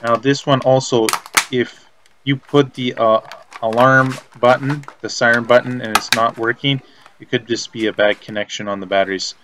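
A man giving step-by-step instructional narration as a repair technician, in a halting, plain-spoken way. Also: medium pitch, steady tone, background noise. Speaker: repair technician presenting a tutorial.

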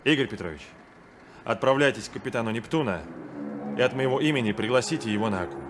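A courteous ship's captain, played by a man, gives orders with quiet authority in a mid-pitched voice. It is an old radio play with faint noise behind the voice. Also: lively intonation, quick pace, lightly acted. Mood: admiring, commanding. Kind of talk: radio drama acting.

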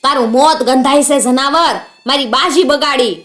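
A woman's voice: on the high side, moderate pace, lightly acted. A woman voicing a proud, volatile male outlaw chief in a folk tale, with a Kathiawadi Gujarati accent and blustering manner. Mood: enraged. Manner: blustering, roaring threats.

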